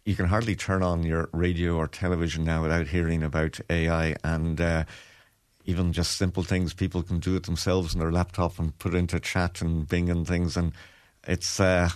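A man presenting a radio business segment, reading event details in a steady, informative way with frequent pauses. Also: deep voice, dry recording, clean studio sound. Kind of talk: radio chat, reading news items. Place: radio studio.

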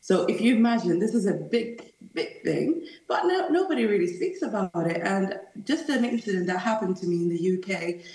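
A woman's voice: mid-range voice, expressive, moderate pace, hardly any pauses, darkish tone. A woman in her twenties from Sudan, speaking emphatically and fluently as an interview guest in an earnest personal account. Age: 20s. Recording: echoey room sound.

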